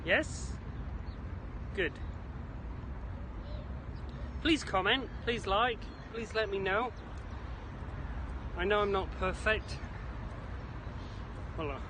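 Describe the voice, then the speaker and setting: A British man with a notably high voice chats to his viewers as he walks outdoors. His delivery is slow and chirpy, with a showman's patter. Clear city noise runs behind him on the camera microphone. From Isle of Man, British. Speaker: vlogger. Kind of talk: casual walking vlog to camera. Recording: noisy outdoor city ambience.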